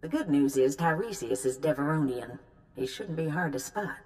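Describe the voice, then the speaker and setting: A handler briefing an agent in a video game. Her voice is deep for a woman, and her manner is brisk, knowing and instructive. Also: animated, darkish tone. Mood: businesslike, knowing. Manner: businesslike, instructive. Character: brisk female mission handler giving briefings.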